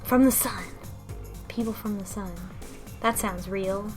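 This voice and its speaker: A woman's voice: medium pitch, unhurried, darkish tone. A woman co-hosting a casual group chat, recapping a visual novel story. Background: background music.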